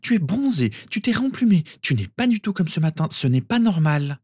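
Man doing a teenage girl witch, guarded, wary, very high pitch, husky. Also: very animated, fairly quick, a little mellow, no room sound, full character voice.

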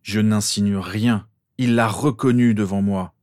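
A man's voice: on the low side, normal speed, darkish tone, no room sound, lightly acted. A man voicing an earnest, wounded teenage boy wizard in an audiobook, with a defensive manner. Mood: defiant.

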